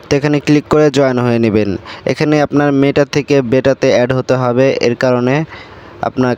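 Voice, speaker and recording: Fast-talking man with a clear voice. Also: mid-range voice, a little mellow. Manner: repetitive, instructional, step-by-step. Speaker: YouTube tutorial host. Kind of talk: casual screen-recording tutorial.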